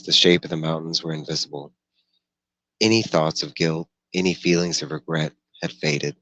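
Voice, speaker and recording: A man reads fiction aloud as a narrator. His voice is very deep, captured dry with little room sound. The delivery is a bit halting, with occasional stumbles. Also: a bit bright, no room sound, poor audio. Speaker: audiobook reader. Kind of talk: reading a novel aloud, narration.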